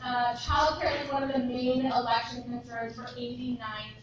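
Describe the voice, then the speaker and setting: A woman's voice: fairly high pitch, level tone, normal speed. A woman speaking at a press conference as a childcare advocate, emphatic and rallying, heard from a distance without a working microphone. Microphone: far, without working microphone. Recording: distant, echoey, dull, noisy.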